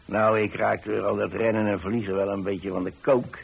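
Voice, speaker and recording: A man's voice: fairly low pitch, little pitch movement, normal speed, creaky, husky. An actor playing a chatty, easily flustered old pensioner who tells his story in a hesitant, rambling way, repeating words. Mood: rambling, reminiscing. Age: older, retired. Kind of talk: radio monologue storytelling. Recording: hissy old radio recording.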